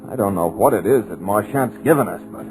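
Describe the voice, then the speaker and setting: A man's voice: lowish voice, lively intonation, fairly quick, lightly acted, rough recording. A man playing a hypnotized male writer in an old radio drama, narrating his own helpless terror in urgent bursts. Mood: desperate. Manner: breathless, urgent inner narration. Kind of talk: 1940s radio drama acting. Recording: old radio recording with music behind.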